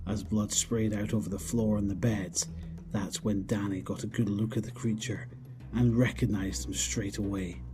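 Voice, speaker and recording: A man's voice: lowish voice, steady tone, moderate pace. A man reading scripted narration for a horror audio drama.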